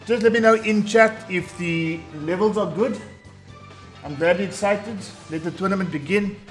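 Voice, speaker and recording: A man with a booming voice hosting a live tournament stream, hyped and talking straight to his chat. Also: moderate pace. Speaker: tournament host. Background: faint background music.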